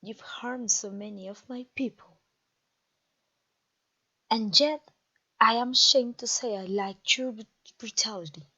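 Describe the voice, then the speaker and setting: A woman voicing an imperious, vengeful vampire queen in a fantasy audio roleplay, taunting a captive with theatrical, deliberate pauses. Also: a little high, fairly bright tone, dull audio, heavily acted. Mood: brooding, bitter. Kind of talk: scripted ASMR fantasy roleplay audio drama.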